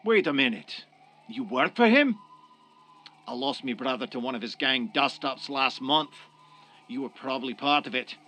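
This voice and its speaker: A man's voice: medium pitch, animated, moderate pace, sharp tone, a little hiss or hum. A man playing a wary, aggrieved Irish tenant in a radio drama, blunt and threatening in an Irish accent. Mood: threatening, suspicious.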